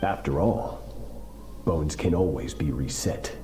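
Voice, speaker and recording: A merciless master swordsman from a fantasy game, low and dark in tone. He speaks slowly and solemnly, giving stern commands with cold authority, and his words ring in a roomy echo over music. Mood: cruel, stern. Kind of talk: dramatic video game voice acting.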